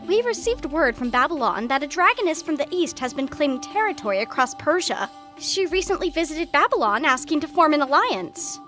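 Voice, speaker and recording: Actress as sweet female sheep aide, very high animated voice, deferential, faint music behind. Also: exaggerated voice. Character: polite, perky female sheep-like royal aide. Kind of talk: audio drama acting.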